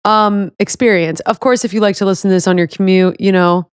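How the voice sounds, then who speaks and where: Young woman co-host, rambling and casual, smooth clear voice, dry close mic. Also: mid-range voice, steady tone, brisk, dry recording. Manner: rambling, heavy use of "like". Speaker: podcast co-host. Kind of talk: casual chat.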